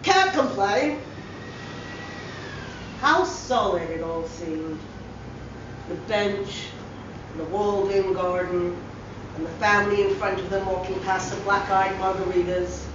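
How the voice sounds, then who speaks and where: A woman's voice: fairly low pitch, fairly even intonation, a little slow. A woman giving a dramatic reading of a short story as scripted narration. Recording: roomy echo, distant mic, dull hissy sound.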